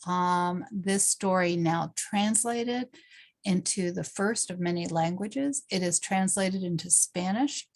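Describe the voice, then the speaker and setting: A woman hosting an online talk show, speaking earnestly and enthusiastically, with frequent ums as she introduces her guest. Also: on the low side. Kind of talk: interview host.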